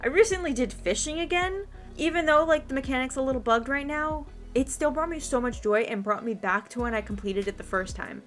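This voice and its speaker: A woman's voice: sits high, lively intonation, a little fast. A woman giving a casual gaming guide commentary as a video host, chatty, informal and encouraging. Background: background music.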